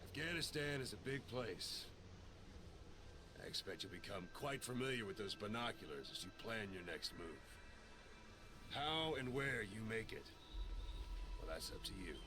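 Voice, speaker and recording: A man playing a seasoned military intelligence operative in a video game, briefing a soldier in a measured, commanding, dramatic way. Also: on the low side, expressive, background noise, close to an everyday voice. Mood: grave, commanding. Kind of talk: video game cutscene acting.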